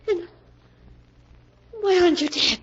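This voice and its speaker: A high-voiced, anguished melodrama heroine. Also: female voice, animated, slow, fairly bright tone, exaggerated voice. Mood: shaken disbelief. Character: anguished, accusing woman in love with a fiend. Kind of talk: 1940s radio melodrama acting. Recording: dull vintage 1940s radio recording.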